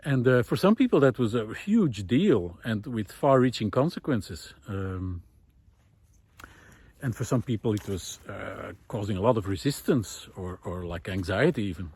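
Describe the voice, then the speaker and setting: A man giving an unscripted, reflective monologue while out walking, thoughtful and hesitant, pausing often as he finds his words. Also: on the low side, animated, darkish tone, slightly rough. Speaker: host of a walking audio diary, former teacher. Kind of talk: unscripted reflective spoken monologue recorded on a walk. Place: outdoors, walking.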